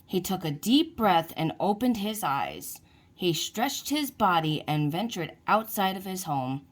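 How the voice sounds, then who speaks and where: A woman reading a children's storybook aloud in an expressive, deliberate way. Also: on the low side. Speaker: children's book reader.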